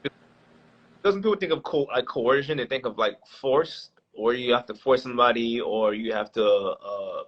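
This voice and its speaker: Guest, male, late 20s, rambling casual talker, mid-pitched voice, dull lo-fi audio. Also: normal speed. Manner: rambling, many fillers and restarts. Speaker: podcast guest. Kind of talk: casual podcast conversation. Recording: dull lo-fi sound.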